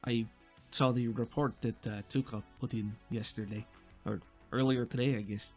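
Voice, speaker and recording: A man voicing a friendly, helpful female receptionist in a tabletop game, polite and quietly concerned. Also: mid-range voice, steady tone, normal speed, faint music behind, natural voice.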